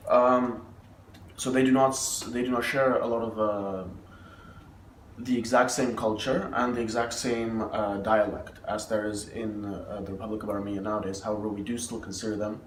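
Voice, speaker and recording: A man's voice: on the low side, flat delivery, moderate pace, distant mic. A young male university student raised in the Middle East, answering interview questions hesitantly with frequent "uh" and restarts. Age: young adult. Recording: roomy, from off mic, faint noise.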